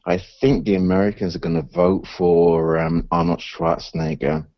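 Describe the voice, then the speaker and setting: Teasing, chatty low-voiced man. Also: fairly even intonation, moderate pace, dry recording, dull audio. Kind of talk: casual chat on internet radio. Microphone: video call.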